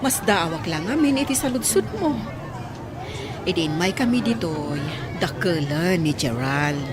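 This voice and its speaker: A middle-aged village mother in a radio drama, acted by a woman, guarded and easily flustered. Her voice sits fairly low and swings widely in pitch. A faint crowd murmurs behind her. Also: animated, a little slow, noisy background. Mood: puzzled, guarded.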